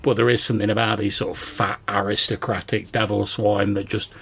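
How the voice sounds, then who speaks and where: A man's voice: on the low side, flat delivery, brisk, vocal fry, fairly bright tone, slightly rough. A man hosting a podcast, chatting conversationally in response to listener voicemails. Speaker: podcast host.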